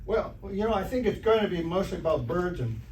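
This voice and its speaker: A man's voice: sits high, animated, a little mellow, far from the mic. Man playing a dim earnest schoolboy, roomy stage. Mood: earnest, muddled. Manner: slow-witted, halting, folksy. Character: dim, earnest, slangy teenage schoolboy athlete. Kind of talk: old-time radio comedy acting. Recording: roomy stage recording from afar, hiss.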